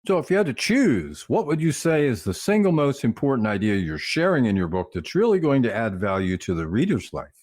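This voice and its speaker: A man hosting an interview, reading prepared questions with a lively lilt. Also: mid-range voice, brisk, hardly any pauses. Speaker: interview host.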